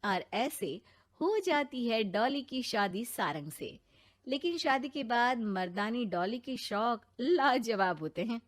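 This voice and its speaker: A woman's voice: fairly high pitch, expressive, slow pace. A woman giving scripted narration for a cartoon moral story.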